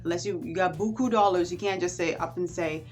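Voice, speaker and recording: A woman from the Bahamas with a Bahamian accent, chatting to camera on her vlog in a lively, animated way. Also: lowish voice, brisk, faint music behind. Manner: chatty and animated, with warm asides. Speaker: vlogger. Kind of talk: casual chat to camera.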